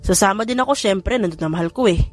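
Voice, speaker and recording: A woman's voice: mid-range voice, fast, faint music behind, lightly acted. A woman voicing a lovestruck young man in an audiobook, a playful and whiny son and suitor. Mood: teasing. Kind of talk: audiobook character dialogue.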